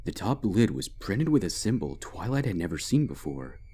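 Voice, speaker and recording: A man giving a dramatic scripted narration of a dark fantasy story. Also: low voice, very animated, normal speed, slightly rough. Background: background music.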